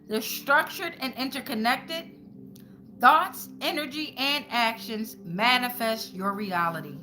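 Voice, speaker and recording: Bright, high-voiced woman reading tarot. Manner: colloquial, swearing, repeats phrases like incantations. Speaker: tarot reader and channel host. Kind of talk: informal spiritual tarot reading to viewers.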